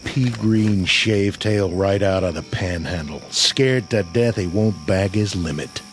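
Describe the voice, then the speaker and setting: An actor playing a war-weary, humane army sergeant in a radio drama, dry and sarcastic with a folksy manner. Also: male voice, low-pitched, unhurried, a little hiss or hum. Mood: bitter, defiant. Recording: radio drama with faint music underneath.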